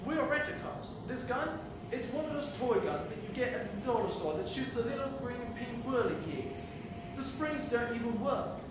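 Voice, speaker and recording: Rent-a-cop slacker, male actor, comedy audio drama: casual rambling, very reverberant, clear background noise. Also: very high voice. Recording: very echoey, distant, noisy.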